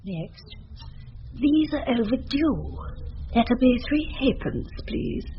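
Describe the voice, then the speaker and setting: An officious librarian drawls her demands in a radio comedy. Her highish voice is very slow, on a muffled recording. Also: big pitch swings, exaggerated voice. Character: officious, unhurried female librarian demanding fines. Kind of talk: comic radio drama acting. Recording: muffled radio-play recording, faint noise.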